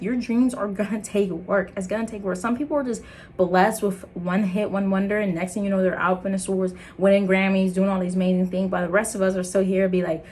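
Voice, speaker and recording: Earnest, animated young American woman. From Liberia, raised in the United States. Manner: earnest and animated, laughing, jumping into high excited bits. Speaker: content creator. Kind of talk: casual motivational vlog talk.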